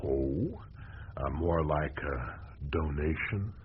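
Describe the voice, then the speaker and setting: An actor playing a world-weary, hard-drinking newspaper reporter in a radio drama, with a sardonic, theatrical, rambling manner. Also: male voice, very deep voice, expressive, drawn-out words, phone-quality sound, slight background noise, exaggerated voice. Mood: wry, tipsy.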